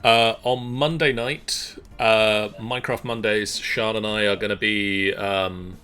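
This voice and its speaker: Guy hosting a stream, middle aged, lowish bright voice, chatty but keeps pausing. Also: fairly even intonation. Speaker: streamer and host. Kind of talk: casual livestream announcements. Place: small room at home. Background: faint music.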